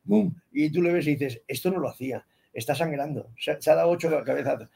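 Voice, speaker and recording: Gravelly husky man riffing deadpan. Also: fairly high pitch, fast. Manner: rambling deadpan absurd reasoning. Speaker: comedian guest. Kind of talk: casual comedic chat.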